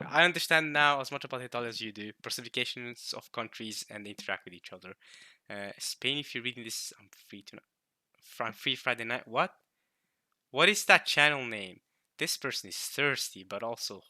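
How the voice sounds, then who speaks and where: A man's voice: medium pitch, sharp tone, no room sound, studio quality. A man from Norway hosting a casual reaction video, rambling and cutting himself off, laughing often.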